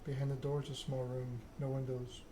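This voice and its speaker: A man reads a story game aloud in a soft ASMR style. His pitch is middling and stays quite flat, with clear noise behind him. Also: a little fast. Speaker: narrator reading a video game aloud.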